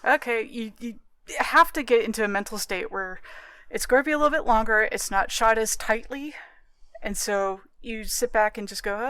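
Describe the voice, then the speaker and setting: A woman's voice: fairly high pitch, a bit bright, smooth, no room sound. A woman co-hosting a podcast in casual conversation about film. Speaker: podcast co-host.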